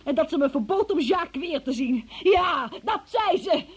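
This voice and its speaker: A high-pitched young female suspect in a radio play. She is evasive and self-pitying. Her manner is pleading and petulant, with a defiant streak. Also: animated, moderate pace. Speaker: radio drama actor. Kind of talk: emotional Dutch radio play acting.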